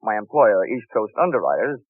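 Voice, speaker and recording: An American actor playing a brash, wisecracking, flirtatious insurance investigator in a wry, punchy 1940s radio detective style. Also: male voice, fairly low pitch, big pitch swings, fairly quick, breathy edge, dry recording. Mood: wry, brisk. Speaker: lead actor and narrator. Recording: tinny 1940s radio recording.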